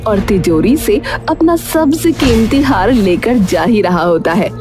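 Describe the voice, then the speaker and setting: A woman's voice: mid-range voice, animated, measured pace. A woman narrating a cartoon moral tale as a storyteller, in a scripted style. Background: music.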